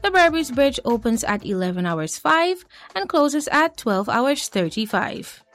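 A woman, reading a transport schedule announcement in a measured, formal way. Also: fairly high pitch, very animated, a bit bright. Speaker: radio announcer. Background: faint music bed.

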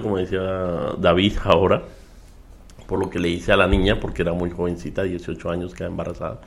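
A middle-aged man with a Colombian Spanish accent speaks in a very low, deep register. He tells his testimony at a measured pace, pausing with fillers, as a guest in an interview. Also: creaky. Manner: measured storytelling with frequent fillers. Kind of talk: relaxed testimony in conversation.

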